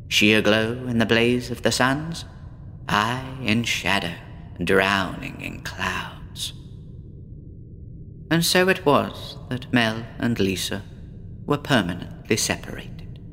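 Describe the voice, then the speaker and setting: A man playing a despondent, withdrawn woman patient in a horror audio drama, speaking in a brooding, deliberate, theatrical way. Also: mid-range voice, vocal fry, a bit bright, a little hiss or hum, full character voice. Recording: long reverb with faint background ambience.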